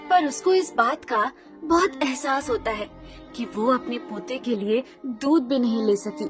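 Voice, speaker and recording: High-pitched woman narrating a children's story. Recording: music under the voice.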